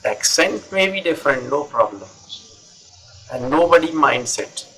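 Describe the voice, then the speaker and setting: A man's voice: fairly high pitch, lively intonation, measured pace, bright, forceful tone, poor audio. A middle-aged man from North India with a North Indian accent, an English teacher chatting earnestly over a video call and repeating his points. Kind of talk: casual conversation.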